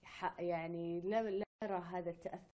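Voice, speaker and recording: A woman's voice: lowish voice, steady tone, brisk, crowd noise. A woman interviewing a guest, restarting her questions as she goes. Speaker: interviewer, host.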